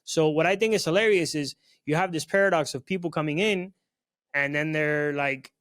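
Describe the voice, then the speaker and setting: A young man from South Florida hosting a podcast, talking in a relaxed, chatty way with lots of "bro" and "you know what I mean.". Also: on the high side, moderate pace. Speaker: podcast host. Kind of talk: casual chat.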